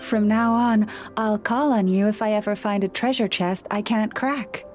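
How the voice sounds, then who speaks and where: A woman voicing a calm, composed young woman who guides others with the help of animal helpers, in a video game cutscene. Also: medium pitch, normal speed, faint music behind, close to an everyday voice. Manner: calm and reassuring.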